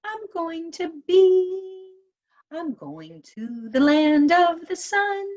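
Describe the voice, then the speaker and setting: A woman voicing a small, excitable female elf in a storybook, exclaiming in a sing-song way. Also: extremely high pitch, drawn-out words, dull audio, pitched above the performer's own voice, heavily acted. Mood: joyful singing.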